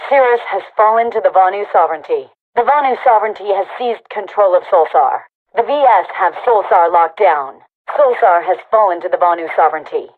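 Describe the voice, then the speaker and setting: A calm, authoritative female military overseer for a sci-fi shooter game. She speaks at a medium pitch in measured, formal phrases. Her scripted announcements come through a radio comms filter. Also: phone-quality sound. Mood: firm, official. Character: military commander woman briefing soldiers, calm and authoritative.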